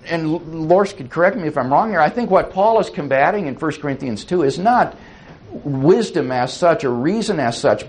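Articulate man answering audience questions. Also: a little high. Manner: thoughtful, articulate, explanatory. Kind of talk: lecture Q&A answers.

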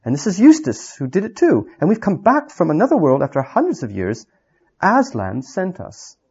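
A man's voice: high-pitched, wildly swooping pitch, moderate pace, a little mellow, breathy edge, dull audio, pitched above the performer's own voice, heavily acted. A man voicing a bold young girl in a read-aloud story, talking eagerly and pleadingly.

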